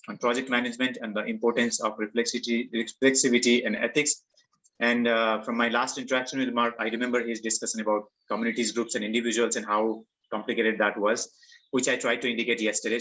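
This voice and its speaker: A man hosting an online workshop, introducing the guest speaker in a quick, informal way. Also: mid-range voice, bright, forceful tone, poor audio. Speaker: workshop host. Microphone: video call.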